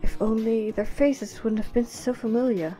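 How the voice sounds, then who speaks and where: A woman's voice: fairly high pitch, moderate pace, warm tone, faint music behind. A woman narrating a scripted story as the narrator of an audio drama.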